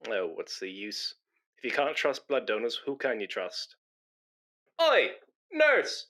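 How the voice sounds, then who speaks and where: A man's voice: mid-range voice, huge pitch swings, takes it slowly, bright, forceful tone, heavily acted. A man playing a pompous, self-important, easily aggrieved middle-aged man in a radio comedy. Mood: musing, let down, then plaintive. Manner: pompous, indignant, sententious. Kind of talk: British radio comedy acting.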